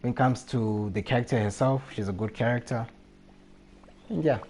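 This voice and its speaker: A man's voice: mid-range voice, fairly even intonation, normal speed, darkish tone, slight background noise. A man talking casually as a live game streamer, rambling and often repeating his phrases. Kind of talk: casual gaming livestream chat.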